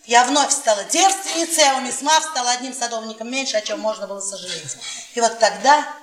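Actress as a high-voiced, taunting drunken wife. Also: female voice, a little fast, lightly acted. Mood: nostalgic confiding. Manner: theatrical, mocking, drawling key words. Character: bitter, taunting middle-aged professor's wife, drunk and domineering. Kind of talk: Soviet radio theatre acting. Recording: echoey 1980s stage-like radio play, distant.

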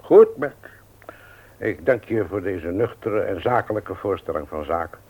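Stubborn old uncle, tinny radio drama. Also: male voice, low-pitched, expressive, husky, exaggerated voice. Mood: stiffly resigned. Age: older. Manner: formal, measured, unyielding. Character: stubborn widowed uncle in his seventies, shrewd business owner. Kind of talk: radio play acting. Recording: tinny, narrow-band old radio recording.

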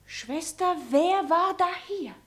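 An anxious, tender wartime sister with a high voice. She is impulsive and emotionally exposed, speaking in questioning rhymed verse for a theatrical radio play. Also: animated, slow pace, full character voice.

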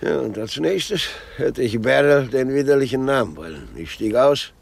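An actor playing a fretful, needy old husband in a radio drama, speaking in an agitated, querulous, pleading way. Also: male voice, mid-range voice, expressive, moderate pace. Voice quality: husky, gravelly.

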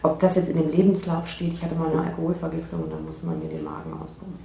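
A woman playing a hesitant, slightly defensive young woman in a naturalistic radio drama, halting and unsure. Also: deep voice, very animated, vocal fry, roomy sound, background noise, full character voice.

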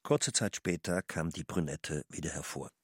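A man's voice: a bass voice, lively intonation, moderate pace, husky, dry recording, clean studio sound. A man narrating a radio drama from a script as a storyteller.

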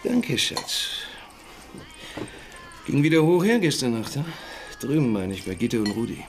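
A young family father in a radio crime drama. His mid-pitched voice moves in big swings between teasing and touchy defensiveness. A faint noise sits behind him. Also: sing-song, unhurried. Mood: relaxed. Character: young father, teasing, easygoing, easily irritated husband.

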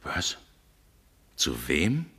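An actor playing a sceptical, worldly gentleman in a 1960s German radio play, terse and drily mocking in his questions. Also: rumbling low, wildly swooping pitch, extremely slow, breathy edge, full character voice. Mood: incredulous. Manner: terse, incredulous, dry questioning.

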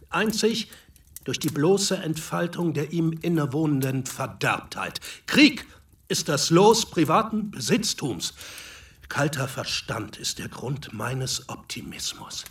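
An actor playing a fat, self-absorbed revolutionary ex-prisoner, declaiming wheezily as if to himself in a radio drama. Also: male voice, a little high, a bit bright, recorded from a distance. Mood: insistent. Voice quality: wheezy, breathy.

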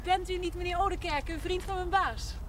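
An actress playing a polite, practical and candid young secretary in a 1970s Dutch television drama, direct and composed. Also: female voice, very high voice, fairly even intonation, a little fast. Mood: polite, curious. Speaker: actor in a television drama series. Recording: noisy old television soundtrack.

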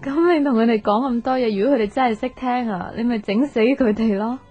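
An actress with a Hong Kong Cantonese accent playing a pensive, soft-hearted young woman unsure in love, reflective and earnest in an intimate radio drama. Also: fairly high pitch, brisk, lightly acted. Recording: dull radio recording, music underneath.

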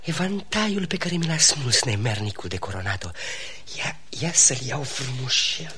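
A sly, disgruntled villager acted by a man in a radio comedy. He mutters asides and exclaims with lively swings of pitch, over clearly heard music. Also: natural voice. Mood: sly, surprised. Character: sly, disgruntled male villager talking to himself. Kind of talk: theatrical Romanian radio comedy acting.

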